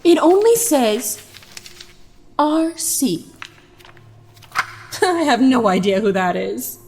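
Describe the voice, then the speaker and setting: An encouraging, focused stage director, played by a woman in an audio drama. The voice sits fairly high and rises and falls in lively sweeps. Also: extremely slow, soft background music, heavily acted. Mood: encouraging, brisk.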